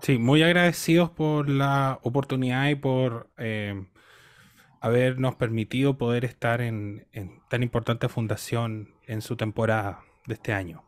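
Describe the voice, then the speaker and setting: A Chilean man in his thirties talks as an interview guest over a video call. His voice sits at a medium pitch and his delivery is conversational. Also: steady tone, a little slow. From Temuco, Chile. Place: indoor room on a video call.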